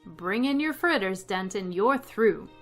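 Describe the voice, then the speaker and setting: A woman's voice: mid-range voice, animated, a little slow, a bit bright, soft background music. A woman playing a wry schoolteacher in a radio sitcom, with a dry, sarcastic manner. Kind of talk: old-time radio sitcom acting.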